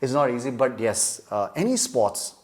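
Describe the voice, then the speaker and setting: A man's voice: medium pitch, big pitch swings, normal speed. A man, a guest telling rambling jokes in a casual chat and laughing often. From India. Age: 30s. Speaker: podcast guest.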